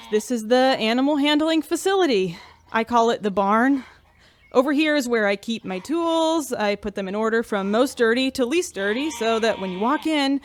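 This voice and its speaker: A woman acts a shy, earnest starship animal keeper in a radio play. She rambles awkwardly in a fairly high voice over faint barn ambience. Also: a little hiss or hum, natural voice. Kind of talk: naturalistic sci-fi radio drama acting.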